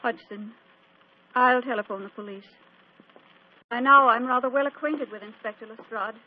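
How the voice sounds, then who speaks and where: Vintage radio drama: actress as commanding titled lady, poised, somewhat high voice, tinny sound. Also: moderate pace, natural voice. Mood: composed. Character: composed, commanding aristocratic lady. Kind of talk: 1940s radio drama acting.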